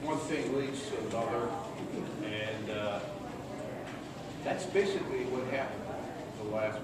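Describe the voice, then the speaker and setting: A man's voice: mid-range voice, measured pace, recorded from a distance. An older man hosting an amateur radio play, chatting informally and in a rambling way to an audience. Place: large echoey room before a live audience. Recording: very echoey noisy hall, off mic.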